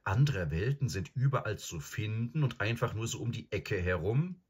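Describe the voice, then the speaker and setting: A man's voice: on the low side, animated, moderate pace, breathy edge, voice pushed up. Man doing an earnest schoolboy, raised voice. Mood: doubtful, earnest. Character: earnest, puzzled schoolboy, polite and skeptical. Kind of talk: audiobook character acting.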